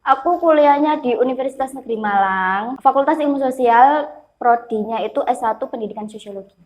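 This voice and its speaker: Light, easygoing young female student, slightly high pitch. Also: fairly quick, darkish tone. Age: young adult. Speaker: sociology education student. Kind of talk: casual chat.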